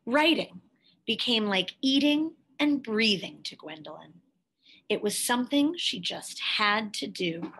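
A woman reading a picture book aloud, with careful, expressive storytelling phrasing. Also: medium pitch, unhurried, vocal fry, slightly rough, rough recording. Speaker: storybook reader.

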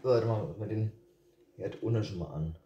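A man with a regional German dialect chats his way through a home cooking demo, easygoing and a little rambling. His voice is mellow and sits away from the camera in a roomy kitchen. Faint background noise hums underneath. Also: fairly low pitch, normal speed, distant mic, lo-fi sound. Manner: relaxed, rambling, with hesitations and chuckles. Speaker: home cook vlogger. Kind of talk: casual cooking tutorial. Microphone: camera set on the counter, speaker off to the side.

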